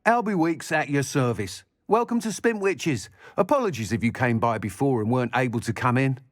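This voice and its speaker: A man's voice: medium pitch, animated, a little fast, dry recording, studio quality, lightly acted. A man playing a chatty, eager shopkeeper selling magic brooms in a video game. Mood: welcoming, then apologetic. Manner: salesmanlike, talkative. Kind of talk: video game character dialogue.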